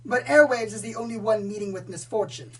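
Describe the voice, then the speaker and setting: A woman's voice: mid-range voice, fairly quick, lightly acted. A woman playing a prim, easily exasperated theatrical pony in an audio drama, speaking in formal, haughty, dramatic phrases. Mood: exasperated, advising. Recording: distant mic, noisy, humming.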